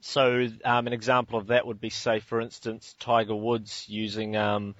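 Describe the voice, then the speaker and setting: New Zealand man hosting a call interview; halting, filler-heavy, dry muffled line. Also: fairly low pitch, level tone, a bit bright, dry recording. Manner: hesitant, many ums and restarts. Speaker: host. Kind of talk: teleconference interview.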